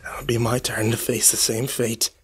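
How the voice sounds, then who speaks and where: A man's voice: mid-range voice, flat delivery, normal speed, a bit bright, husky. An actor playing a weary, haunted, cynical man who broods and swears through a first-person horror audio drama. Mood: dread, panic. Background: music behind the voice.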